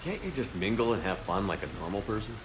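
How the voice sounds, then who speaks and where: A man's voice: fairly low pitch, sing-song, quick pace, noisy background. An actor playing a commanding, dry-humored male military commander in a video game.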